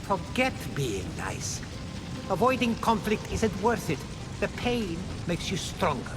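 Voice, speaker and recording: A man playing a gruff, aggrieved smith god in a video game. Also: high-pitched, huge pitch swings, takes it slowly, full character voice. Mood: urging, bitter. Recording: loud music behind the voice.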